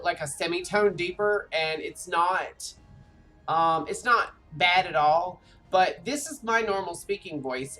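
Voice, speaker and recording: A man in his thirties with a light, high voice, telling stories in animated, chatty gameplay talk. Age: 30s. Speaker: streamer. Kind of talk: casual gameplay chat. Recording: voice a bit off-mic with faint game music.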